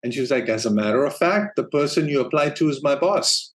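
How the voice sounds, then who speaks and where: Man from Denmark, forties, interview guest; relaxed storyteller who laughs easily, middle-pitched voice. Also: a little fast. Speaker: guest, streaming operations manager. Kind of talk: casual interview talk.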